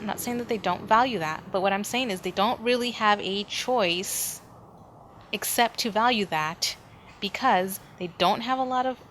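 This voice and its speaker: Woman podcaster, medium pitch, informal solo chat, thinking out loud, light background noise from outside. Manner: rambling, thinking aloud, informal. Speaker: podcast host. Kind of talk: casual solo podcast monologue. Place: indoors at home, near street noise. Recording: background noise, ambient room sound.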